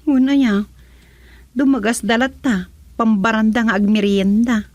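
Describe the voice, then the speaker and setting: A woman's voice: a little high, fairly even intonation, a little slow, a little mellow, dry recording, lightly acted. A woman with a Filipino accent acting as a chatty, nosy villager in a comedy radio drama, with colloquial speech. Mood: gossiping.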